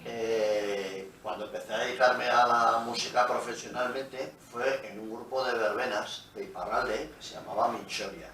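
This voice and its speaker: A middle-aged man from Pamplona, a musician answering interview questions in an unhurried, storytelling way, his voice fading quietly at the ends of phrases. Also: mid-range voice, fairly even intonation. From Pamplona, Spain. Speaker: guest musician. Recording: roomy, echoey, from a distance, faint noise.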